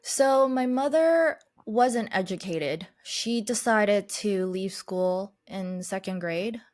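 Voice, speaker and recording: A woman guest telling her life story in an interview, reflective and unhurried, whose first language is Chinese. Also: medium pitch, darkish tone, dry recording, clean studio sound. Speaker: podcast guest, life coach.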